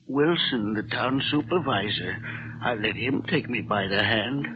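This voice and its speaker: A man's voice: mid-range voice, muffled recording, natural voice. An actor playing a frightened, bewildered old man who stammers and doubts his own sanity in a 1940s radio drama. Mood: troubled, weary. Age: older. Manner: stammering, halting, agitated. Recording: noisy, band-limited old radio recording.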